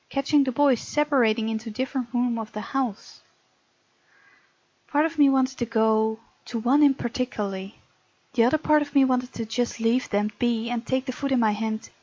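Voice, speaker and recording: A woman narrates a visual novel playthrough, slipping into casual asides. Her voice sits fairly high, and the home recording is dry and slightly muffled. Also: no echo. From Netherlands. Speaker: gamer reading a visual novel aloud and voicing the heroine.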